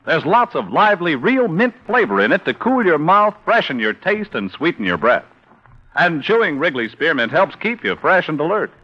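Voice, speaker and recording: A man's voice: a little high, sing-song, moderate pace. An American man announcing in 1950s radio style, reading sponsor messages and credits in a polished, upbeat way. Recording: muffled old radio broadcast with music.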